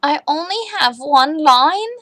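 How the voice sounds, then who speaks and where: A woman's voice: sits high, animated, slow pace, a bit bright, no echo, clean studio sound, voice pushed up, exaggerated voice. A woman voicing an eager, easily disappointed little schoolboy in a children's storybook, with childlike earnestness.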